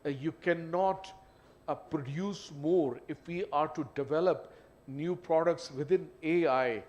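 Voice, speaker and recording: A man's voice: on the high side, darkish tone. A man with a Pakistani English accent, speaking on a business panel and often saying "you know" as he halts. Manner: halting, with frequent "you know". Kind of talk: panel discussion. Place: event hall. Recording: faint background noise.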